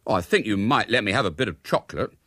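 An argumentative little British schoolboy, acted by a man, pitched well up and swooping about. He pleads and protests eagerly, as a character in a storybook reading. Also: huge pitch swings, fairly quick, a bit bright, breathy edge, no room sound, clean studio sound, exaggerated voice. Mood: eager, pleading. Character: cheeky, argumentative young schoolboy, indignant and eager.

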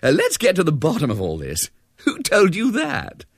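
A well-mannered young army major from a storybook, as a male narrator puts him on. He is genial and gentlemanly, a little hesitant, with a raised pitch and lively swings. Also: moderate pace, no echo, studio quality. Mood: genial, amused. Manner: polite, hesitant, old-fashioned gentlemanly talk.

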